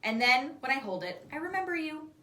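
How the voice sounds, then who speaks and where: A woman's voice: sits high, very animated, a little fast, pushed and bright, pitched above the performer's own voice, exaggerated voice. Woman playing earnest young girl, echoey room. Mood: explaining. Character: young girl, matter-of-fact, earnest friend. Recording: echoey room, distant microphone.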